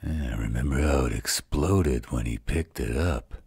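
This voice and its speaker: A man playing a gruff, protective werewolf mate and father figure in a roleplay audio drama, blunt and teasing, with a husky voice. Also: measured pace, darkish tone, dry recording, studio quality, exaggerated voice. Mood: serious, questioning. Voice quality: very deep, husky, gravelly.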